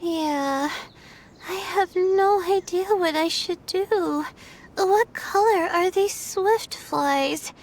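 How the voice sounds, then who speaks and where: A woman's voice: very high voice, extremely slow, slight background noise, heavily acted. A woman playing an anxious, hesitant young female student in a video game. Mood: worried. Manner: hesitant, fretful. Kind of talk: video game character acting.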